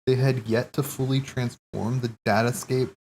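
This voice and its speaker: A man streaming casual, rambling theory commentary, thinking aloud haltingly, correcting himself and swearing casually. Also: mid-range voice, flat delivery, darkish tone, slight background noise.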